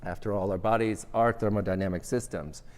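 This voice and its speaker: Low-voiced man lecturing in a reverberant hall. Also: fairly even intonation, a little mellow. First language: Vietnamese. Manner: measured, thoughtful, with frequent restarts and fillers. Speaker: architecture professor, lecturer. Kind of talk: academic lecture with slides.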